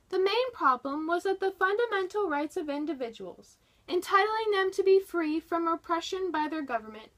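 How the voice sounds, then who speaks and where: Female narrator, high and very animated, for a dramatic history read-aloud with emphatic, deliberate phrasing. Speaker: reader of a nonfiction history book.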